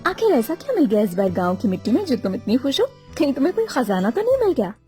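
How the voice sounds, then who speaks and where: A jealous young village wife, voiced by a woman in a cartoon moral story. The voice is high and mellow, the manner pointed and sardonic. Music sits faintly behind. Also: huge pitch swings, quick pace. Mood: sarcastic, sour.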